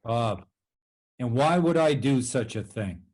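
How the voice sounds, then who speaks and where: A man's voice: medium pitch, expressive, slow pace, darkish tone. A man playing a dry, unyielding baseball executive in a dramatic script reading. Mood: dry, defiant.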